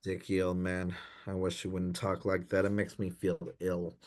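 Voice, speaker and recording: An actor playing an anxious, dim young gentleman in a comic period radio play, dithering and apologetic in posh old-fashioned slang. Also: deep voice, completely flat delivery, moderate pace, natural voice. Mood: pained. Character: anxious, dim young upper-class Edwardian gentleman in trouble.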